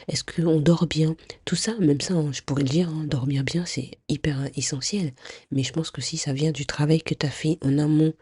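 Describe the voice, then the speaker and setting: A woman's voice: low-pitched, warm tone, husky, no room sound, studio quality. A woman hosting a podcast, chatting directly to the listener with a stuffy nose, many fillers and restarts. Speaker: podcast host. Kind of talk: casual advice podcast monologue.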